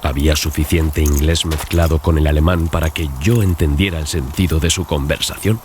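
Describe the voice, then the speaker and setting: A man's voice: a bass voice, steady tone, a little fast, slight background noise. A man narrating a dramatized gothic horror audiobook as a scripted reading. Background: loud music and sound effects.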